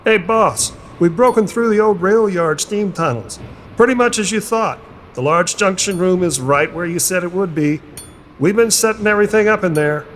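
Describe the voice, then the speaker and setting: A man playing a brisk work crew man reporting to his boss in a radio play. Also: high-pitched, fairly bright tone, a little hiss or hum. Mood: brisk, deferential.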